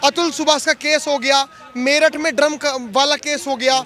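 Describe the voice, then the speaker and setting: A man conducts street interviews in a strikingly high, forceful voice. He speaks emphatically, repeating phrases as he frames questions about social issues for people he stops. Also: little pitch movement, moderate pace.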